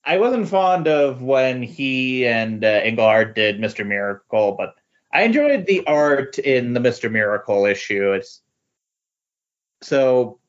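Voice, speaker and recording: Halting, rambling man, slightly dull sound. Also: medium pitch. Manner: halting, rambling, self-correcting. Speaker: returning podcast guest. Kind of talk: casual chat about comic books.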